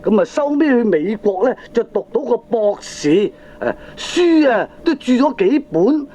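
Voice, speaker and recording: Excitable high-pitched Cantonese father. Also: unhurried, heavily acted. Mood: excited, insistent. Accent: Hong Kong Cantonese. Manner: emphatic, chatty, exaggerated delivery. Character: excitable middle-aged father, stubbornly convinced, earnest and talkative. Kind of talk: 1980s Cantonese comic radio drama acting. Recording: dull lo-fi cassette recording.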